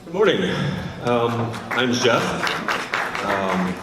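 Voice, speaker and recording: An older man giving a measured conference talk, with a few ums. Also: medium pitch, sing-song, a loud crowd, very noisy. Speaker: panel speaker. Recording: echoey hall from far off.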